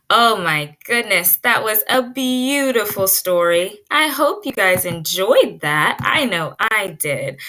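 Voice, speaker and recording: A woman pre-K teacher giving an expressive read-aloud lesson, drawing out key words and putting guiding questions to young listeners. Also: medium pitch, slight background noise. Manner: drawn-out emphasis on key words.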